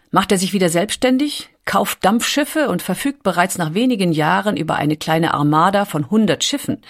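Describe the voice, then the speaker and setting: A woman delivering scripted narration as a documentary narrator. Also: on the low side.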